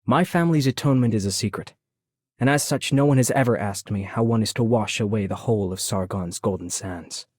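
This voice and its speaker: A man's voice: lowish voice, fairly quick, a little mellow, husky, no room sound, clean studio sound. A man voicing a solemn, formal, introspective nobleman in a video game. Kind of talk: video game character voice lines.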